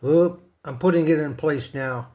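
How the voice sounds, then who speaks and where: A man's voice: a little high, normal speed, warm tone. A man casually narrating a hands-on DIY repair, talking himself through each step in a folksy, playful way. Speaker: host of a DIY repair video.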